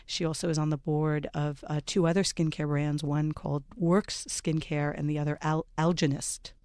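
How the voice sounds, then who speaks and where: A woman hosting a radio panel show, introducing her guests in a measured way with plenty of ums and uhs. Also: low voice, little pitch movement, darkish tone, no echo. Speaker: radio talk show host. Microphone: studio microphone.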